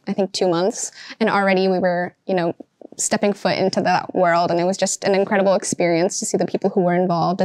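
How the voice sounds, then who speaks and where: A woman's voice: mid-range voice, a little mellow, dry recording. A young woman, a fashion student, answering interview questions in a chatty way with many fillers. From Seattle, Washington. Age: young adult. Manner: chatty, lots of um and you know. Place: bright classroom with large windows.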